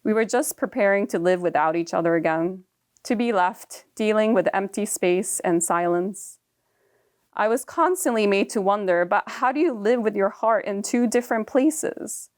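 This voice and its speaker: A young woman whose first language is Japanese, reading her own personal prose aloud in a calm, measured and reflective way. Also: medium pitch.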